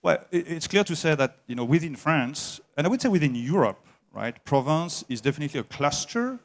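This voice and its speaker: A man heading an engineering services company, answering interview questions at a trade show in a slow, halting way with many filler sounds. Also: a little high, sing-song.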